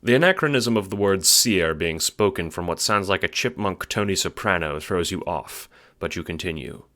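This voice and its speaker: A man's voice: low-pitched, animated, normal speed, pushed and bright. A man narrating an audio drama in dramatic, scripted second-person storytelling.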